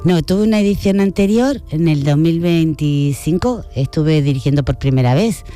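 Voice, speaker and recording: A woman with an Argentine-tinged Spanish accent speaks in a mellow, relaxed voice. She is chatty and enthusiastic, like a festival director being interviewed on the radio. Music plays faintly behind her. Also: sits low, moderate pace. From Cádiz province, Spain.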